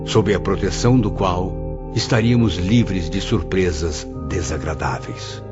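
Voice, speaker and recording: A man's voice: low voice, measured pace. An actor playing an indignant, earnest male writer's spirit, with theatrical, formal literary diction in an audiobook drama. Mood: grateful, hopeful. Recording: dull lo-fi audio, faint music.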